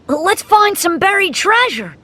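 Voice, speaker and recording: A woman's voice: extremely high pitch, very animated, unhurried, a bit bright, exaggerated voice. A woman playing an eager know-it-all boy. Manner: bossy, know-it-all, full of plans. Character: eager, confident young boy adventurer. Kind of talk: animated storybook acting.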